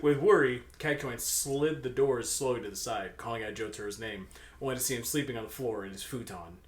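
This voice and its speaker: A man's voice: medium pitch, fairly quick. Young man reading fan fiction, echoey room. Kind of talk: comic storybook-style narration with casual banter.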